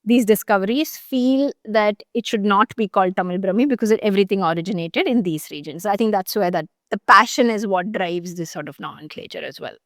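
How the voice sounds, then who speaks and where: A woman talks through a complex subject as an interview guest. She thinks aloud, pausing with fillers and restarting her sentences, at a middling pitch. The sound is close and dry. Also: a bit bright, dry recording. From Chennai, India. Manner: thoughtful, explanatory, frequent fillers and restarts. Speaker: journalist guest. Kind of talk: conversational interview.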